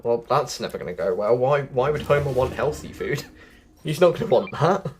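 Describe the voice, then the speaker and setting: A man's voice: mid-range voice, expressive, normal speed, a little hiss or hum. A man giving casual, chatty game walkthrough commentary, addressing his viewers directly as he goes. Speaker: gaming video host.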